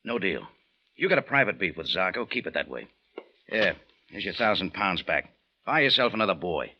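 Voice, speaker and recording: An actor playing a tough, wry American detective hero in an old radio drama, clipped and unflappable, with an American accent. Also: male voice, low-pitched, sing-song, moderate pace, background noise. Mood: firm, dismissive. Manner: hard-boiled, clipped, wry. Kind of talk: old-time radio detective narration and dialogue. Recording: dull old radio recording with music.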